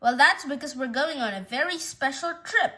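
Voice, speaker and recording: A woman's voice: sits high, lively intonation, normal speed, pushed and bright. A woman voicing a chipper, sweetly reassuring pet owner who coos in a sing-song way, in a storybook reading. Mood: cheerful, coaxing.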